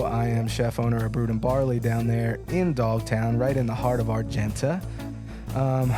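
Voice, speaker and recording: A man hosting a podcast in casual interview chat, informal and slangy, often repeating his words. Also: mid-range voice. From United States, from Philadelphia area. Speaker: podcast host and chef.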